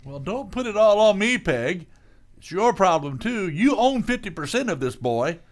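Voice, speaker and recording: A man's voice: sits high, big pitch swings, normal speed. A man playing a bumbling, flustered working-class father in an old-time radio comedy, hesitant and blustering. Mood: exasperated, defensive. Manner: hesitant, stammering, blustering when cornered.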